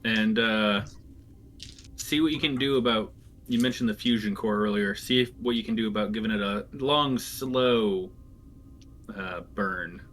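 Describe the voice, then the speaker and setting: Lower-voiced man giving orders in a game. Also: a little slow, fairly bright tone, a little hiss or hum. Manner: gives orders, leader-like. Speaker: player. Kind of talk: casual tabletop play.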